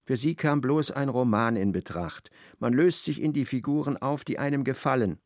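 A man narrating a literary radio play in a measured, vivid storytelling manner. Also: mid-range voice, a little mellow, no echo, studio quality.

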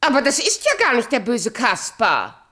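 A woman's voice: fairly high pitch, sing-song, moderate pace, bright, forceful tone. An actress playing a vain, murderous, imperious queen in a radio play, theatrical, commanding and volatile in manner. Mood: imperious, angry.

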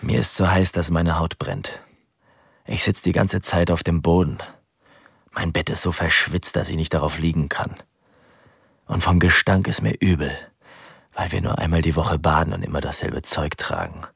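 A bitter, suffering male prisoner in a radio drama. His voice is very low and gravelly, brooding wearily through a letter home. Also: fairly even intonation, normal speed, a bit bright, dry recording, studio quality, full character voice. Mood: weary, miserable.

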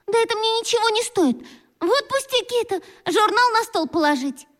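Very high little schoolgirl voice, kindhearted, impulsive, blurts things out emphatically, kids radio play. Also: expressive, moderate pace, fairly bright tone. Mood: breezy, reassuring. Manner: emphatic, colloquial, exclamatory. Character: warm-hearted, impulsive schoolgirl, eager to help and reassure. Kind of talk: children's radio play acting.

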